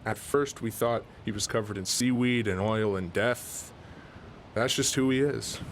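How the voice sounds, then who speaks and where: A man's voice: lowish voice, measured pace, a little hiss or hum. A man playing a weary, plainspoken husband who talks matter-of-factly and grumbles in a mock radio documentary. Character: weary, plainspoken husband, gruffly kind rural rescuer.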